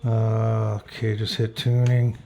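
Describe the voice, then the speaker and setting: Man casually reviewing, slight creaky voice. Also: on the low side, monotone, takes it slowly, warm tone, roomy sound, highs cut off, slight background noise. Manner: rambling, thinking aloud, grumbling about drawbacks. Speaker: radio reviewer. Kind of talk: casual hands-on product review.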